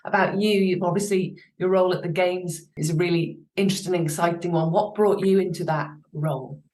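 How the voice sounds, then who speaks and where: A woman's voice: fairly low pitch, steady tone, brisk, a little mellow. A woman hosting a podcast interview, speaking in an upbeat, encouraging way to her guests. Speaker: podcast host. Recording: echoey room.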